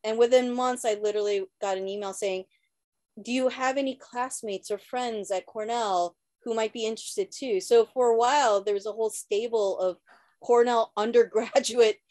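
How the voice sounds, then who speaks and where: Woman with a fairly high voice, amused. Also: fairly even intonation, normal speed, a little mellow. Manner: anecdotal, amused. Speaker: translator panelist. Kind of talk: casual panel talk. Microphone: video call.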